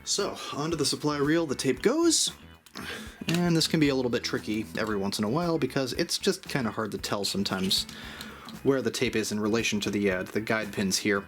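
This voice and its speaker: A man's voice: mid-range voice, lively intonation, a little fast, faint music behind. A man narrating a casual, step-by-step tutorial in a conversational, mildly self-deprecating way. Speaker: host of an audio gear channel.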